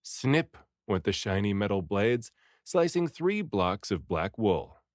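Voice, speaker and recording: A man reading a story aloud in an expressive, theatrical way, acting out the dialogue. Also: fairly low pitch, slow pace, no echo, clean studio sound. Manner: animated, theatrical delivery with acted dialogue. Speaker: audiobook reader.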